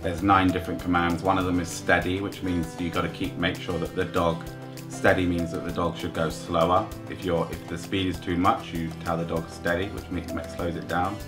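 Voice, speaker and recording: A man's voice: low voice, flat delivery, moderate pace, over music. A man in his thirties plainly explaining guide dog training, interviewed indoors by a window. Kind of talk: interview talk.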